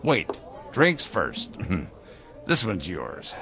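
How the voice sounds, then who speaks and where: A slow, swooping, pompous jovial boss. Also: male voice, lowish voice, wildly swooping pitch, sharp tone, exaggerated voice. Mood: gleeful. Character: jovial, pompous male boss cutting loose over drinks. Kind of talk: theatrical radio drama acting.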